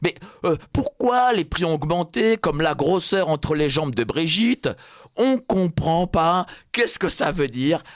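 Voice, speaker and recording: A man's voice: a little high, lively intonation, measured pace, dry recording. A man with a French accent giving a satirical commentary in a radio studio, sarcastic and mock-solemn, laughing at his own jokes. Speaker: radio columnist.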